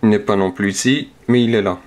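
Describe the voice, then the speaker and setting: A man calmly talks through each number as he scratches lottery tickets, casual and methodical. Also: on the low side, brisk. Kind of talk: casual narration while scratching cards. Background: scratching sounds.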